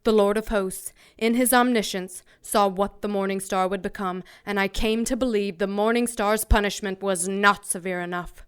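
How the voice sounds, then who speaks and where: A woman playing an ancient, proud warrior archangel in an audio drama, speaking in a grave, formal, measured way. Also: medium pitch, steady tone, smooth, close to an everyday voice. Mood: brooding, resolute.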